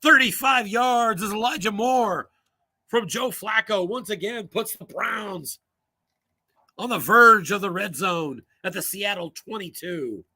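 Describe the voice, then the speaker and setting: A man calling solo play-by-play for a tabletop football game, brisk and rising on big plays, losing his voice and coughing now and then. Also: high voice, pushed and bright.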